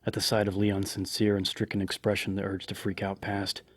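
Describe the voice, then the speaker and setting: A man's voice: fairly low pitch, monotone, a little fast. A man reading scripted horror fiction as a dramatic audiobook narrator. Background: background music.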